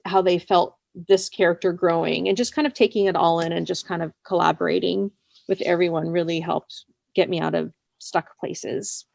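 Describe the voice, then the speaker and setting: A woman's voice: fairly low pitch, fairly even intonation, moderate pace, vocal fry, a little mellow, no room sound. Chatty woman in a dry recording. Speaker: interviewed fiction writer. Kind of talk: casual interview chat.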